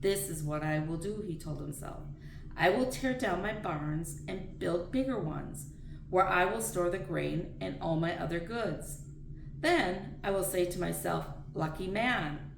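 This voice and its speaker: A woman with a slightly low, gravelly voice reads scripture aloud, plainly and earnestly. She sounds some distance from the microphone, in a room that echoes around her words. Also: unhurried. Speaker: reader of daily scripture readings. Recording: roomy echo, distant mic, faint noise.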